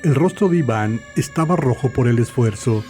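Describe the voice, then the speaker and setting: A man reading scripted literary narration for a dark fantasy audio story. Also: medium pitch, lively intonation, normal speed. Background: faint music.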